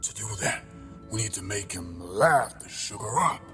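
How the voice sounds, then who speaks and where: A low-pitched crab detective, acted by a man, pompous and sentimental. He tells his log with grand melodrama for a comic audio drama, over music. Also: expressive, normal speed, bright, forceful tone. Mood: urgent. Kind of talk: comedic audio drama narration and dialogue. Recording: music behind the voice.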